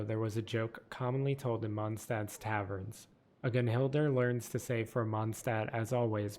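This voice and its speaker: Man, lowish voice, steady measured reading, scripted lore narration. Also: a little hiss or hum.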